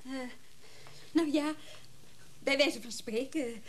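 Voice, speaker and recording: An actress playing a polite, hesitant, easily flustered shop assistant in a radio play with slight noise on the recording. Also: female voice, high voice, slow. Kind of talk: Dutch radio play acting.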